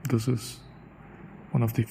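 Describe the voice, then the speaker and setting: Gravelly Indian-accented man thinking aloud. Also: medium pitch, completely flat delivery, a little slow, dark tone, slight background noise. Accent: Indian English. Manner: reflective, thinking aloud with restarts. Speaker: podcaster. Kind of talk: solo spoken monologue.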